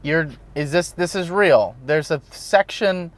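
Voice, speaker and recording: A man's voice: on the high side, slow pace. A man co-hosting a podcast, chatting casually and telling a story that keeps tripping over its own restarts. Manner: rambling storyteller, trips over his words. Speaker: podcast co-host. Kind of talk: casual chat.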